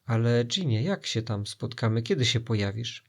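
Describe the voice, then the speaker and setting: A man's voice: medium pitch, animated. A man voicing greedy, dim, gullible pirates in a storybook, clamouring eagerly. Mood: baffled. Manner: clamouring, slow-witted, eager.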